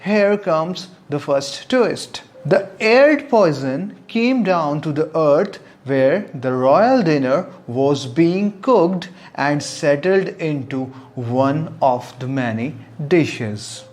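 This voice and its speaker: A storyteller narrating a scripted moral tale, with dramatic pauses and rhetorical questions. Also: medium pitch, animated, darkish tone. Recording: music bed under the voice. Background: relaxing meditational music.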